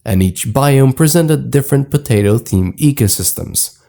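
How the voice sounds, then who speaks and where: A man's voice: mid-range voice, lively intonation, moderate pace, creaky, warm tone. A man reading scripted narration for an explainer video in a formal, documentary-like manner.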